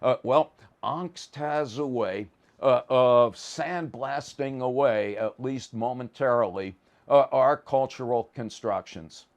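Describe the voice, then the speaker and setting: A man's voice: medium pitch, slow pace. An American man from the Bronx, a professor giving an informal lecture in an emphatic, wry, colloquial way. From the Bronx, New York, USA. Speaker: psychology professor.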